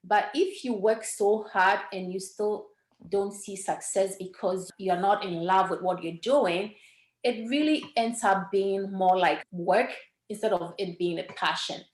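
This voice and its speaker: A woman from Ghana in her forties, whose first language is Ewe, tells her story with earnest warmth. Her voice sits at a middle pitch, and the recording has a roomy echo. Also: a little slow. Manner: earnest, warm storytelling with small restarts. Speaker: podcast guest, entrepreneur. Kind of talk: casual interview talk.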